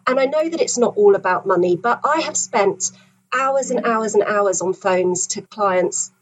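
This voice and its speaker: A woman guest on a podcast, talking in a thoughtful, reflective way and pausing over her words. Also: medium pitch, animated, slightly rough, rough recording. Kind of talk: conversational podcast talk.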